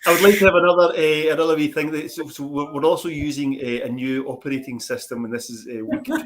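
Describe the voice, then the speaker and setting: A man's voice: a little high, big pitch swings, a little fast, crowd noise. A man co-hosting a podcast in jokey, laid-back casual chat over a video call. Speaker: podcast co-host.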